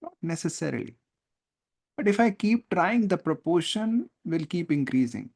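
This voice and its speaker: A man with an Indian accent teaching a live online lesson, stressing key words deliberately and checking in with questions. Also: a little high, sing-song, creaky, a little mellow. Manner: deliberate, emphatic word-by-word stress, rhetorical questions. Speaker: teacher, test-prep coach. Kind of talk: live online lesson, explaining reading comprehension.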